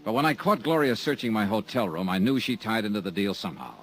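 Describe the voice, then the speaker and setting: An actor playing a sardonic, hard-boiled insurance investigator in a 1950s radio drama, clipped and wisecracking. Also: male voice, fairly low pitch, animated, fast, fairly bright tone, slightly rough, soft background music, full character voice. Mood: narrating. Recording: old radio broadcast recording.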